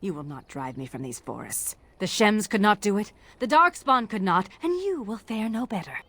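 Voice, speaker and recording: A woman's voice: mid-range voice, sing-song, moderate pace, a bit bright, no echo. A woman playing a bitter, vengeful elf in a video game, hostile, formal and accusing. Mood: cold defiance. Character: bitter, defiant female forest elf, vengeful.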